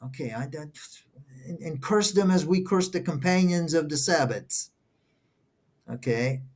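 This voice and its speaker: Halting man, Portuguese his first language. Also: fairly high pitch, darkish tone. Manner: halting, stops to question each phrase. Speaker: co-host reading scripture. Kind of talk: casual discussion, reading verses aloud.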